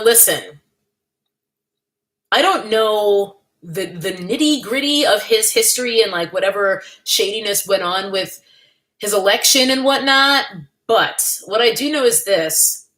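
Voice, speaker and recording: A woman's voice: on the high side, lively intonation, slow pace, fairly bright tone. A young woman talks to her viewers on a livestream from home, in an easy and candid way. She reads out the chat and answers it, and she laughs often between her thoughts. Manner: chatty and candid, with frequent laughs and playful asides. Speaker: livestream host. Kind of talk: casual livestream chat, reading the chat.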